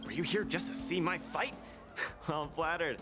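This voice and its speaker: A man's voice: a little high, normal speed, close to an everyday voice. A man voicing a friendly, easygoing young cage-fight champion in a game. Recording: game audio over loud music.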